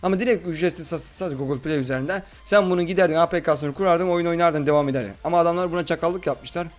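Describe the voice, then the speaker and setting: Guy doing lets play chat, kinda high voice, bossing his friend around, bit of music in back. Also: fast talker. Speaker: gaming video host. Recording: music behind the voice. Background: background music.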